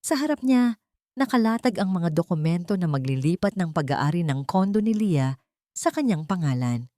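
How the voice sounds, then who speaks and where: A woman's voice: fairly low pitch, animated, moderate pace, mellow, dry recording, studio quality. A woman reading a dramatic scripted love story as its narrator.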